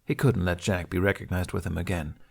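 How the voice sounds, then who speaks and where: A man's voice: low voice, steady tone, brisk, vocal fry, a little mellow, breathy edge. A man reading scripted narration of an adventure story as a podfic narrator.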